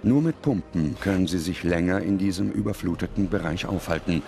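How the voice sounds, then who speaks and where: Male documentary narrator, low-pitched, measured script read over music. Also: gravelly. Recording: music under the voice.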